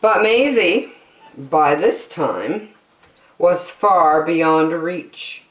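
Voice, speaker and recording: A woman's voice: on the low side, expressive, very slow, a bit bright, echoey room, poor audio. A woman storyteller reading a children's picture book expressively.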